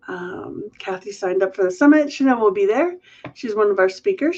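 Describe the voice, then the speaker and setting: A woman's voice: mid-range voice, creaky, darkish tone, muffled recording. A woman hosting a live question-and-answer stream, nasal and congested from a cold, chatting through viewer questions. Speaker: host.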